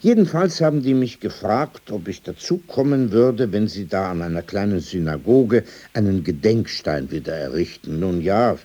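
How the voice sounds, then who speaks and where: Radio play: old ex-editor, mellow and rough-edged, wry, dismissive, opinionated storyteller. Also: male voice, lowish voice, animated, moderate pace, hardly any pauses. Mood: ironic reminiscing. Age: older. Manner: sardonic, digressive, dismissive. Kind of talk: radio drama dialogue.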